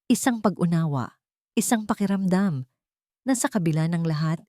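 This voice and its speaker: A woman's voice: fairly low pitch, animated, moderate pace, warm tone, dry recording, clean studio sound. A woman reading dramatic scripted fiction as a story narrator.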